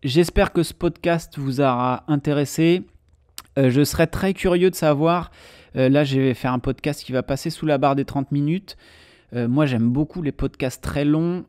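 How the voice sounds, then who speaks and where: A man's voice: mid-range voice, warm tone, no echo, studio quality. A man hosting a solo podcast, explaining casually with many hesitations and fillers. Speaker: podcast host.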